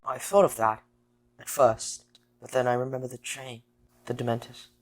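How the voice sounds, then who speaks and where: A man's voice: little pitch movement, normal speed, natural voice. A man playing an earnest, downcast teenage schoolboy wizard in an audio drama, speaking hesitantly. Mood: hesitant, curious. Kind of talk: fan audio drama acting. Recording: noisy home mic with low rumble.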